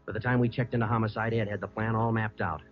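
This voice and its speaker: A tough, dry police detective narrating an old radio crime drama, played by a man. He speaks low, rapid and terse. The recording is muffled, with some music. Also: little pitch movement, natural voice. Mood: matter-of-fact. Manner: terse, matter-of-fact. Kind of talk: 1950s radio drama acting with scripted narration.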